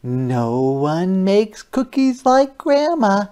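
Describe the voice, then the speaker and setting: A storyteller voicing a generous, welcoming mother in a drawn-out singsong for a picture book. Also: medium pitch, sing-song, warm tone, voice pushed up. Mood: wistful. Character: welcoming mother proud of plain home baking.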